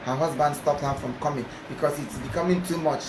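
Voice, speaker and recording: A man's voice: fairly high pitch, brisk. A man with a Nigerian accent hosting a playful comedy chat, bantering and laughing often. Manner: animated, switching languages, laughing often. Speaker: comedy skit host. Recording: echoey room with noise.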